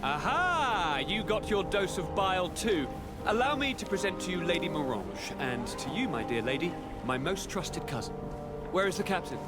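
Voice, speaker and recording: An actor playing a flamboyant, playful young noble governor in a video game, with flowery, pompous courtly speech. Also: male voice, high voice, big pitch swings, normal speed. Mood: playful complaint. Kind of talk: dramatic video game acting. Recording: loud music behind the voice.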